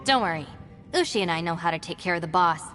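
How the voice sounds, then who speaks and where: An actress playing a calm, dependable young female fighter in a video game, speaking with composed reassurance. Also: lowish voice, quick pace, long reverb tail, soft background music. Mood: calm, reassuring. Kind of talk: English video game voice acting.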